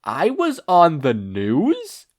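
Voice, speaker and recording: A man voicing an earnest, excitable teenage boy hero who is easily amazed, in a story reading. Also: a little high, very slow, voice pushed up, heavily acted. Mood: surprised.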